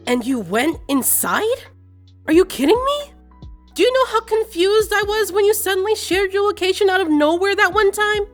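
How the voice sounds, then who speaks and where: A woman's voice: very high voice, animated, moderate pace, soft background music. A woman playing an exasperated, incredulous young female friend and coworker in an amateur audio roleplay.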